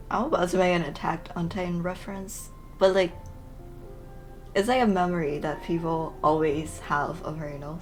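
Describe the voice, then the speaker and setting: A woman with a low voice gives casual commentary as a game streamer. She explains in a rambling, informal way, with faint music behind her. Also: rough recording. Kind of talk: casual let's play commentary.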